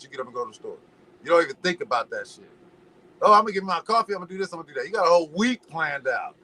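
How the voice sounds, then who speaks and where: Guest, male, bright forceful voice, animated slangy storytelling, slight hiss behind. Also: fairly high pitch, low-quality recording. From Los Angeles. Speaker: podcast guest. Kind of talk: casual chat.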